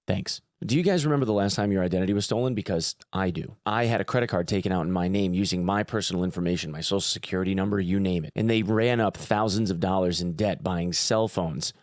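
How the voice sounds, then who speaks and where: A man's voice: sits low, quick pace, hardly any pauses, no room sound, clean studio sound. A man hosting a gaming news video in a chatty, informal way with playful emphasis. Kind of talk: casual news commentary with sponsor read.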